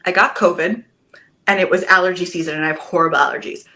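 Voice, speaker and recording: A woman, a Broadway actress, chatting as a podcast guest, telling stories with lively enthusiasm and the odd casual swear word. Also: fairly low pitch, quick pace. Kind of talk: casual podcast interview chat.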